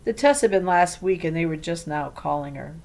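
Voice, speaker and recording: A woman reading a children's storybook aloud in an expressive way. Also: fairly low pitch, brisk, noisy background. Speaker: read-aloud reader.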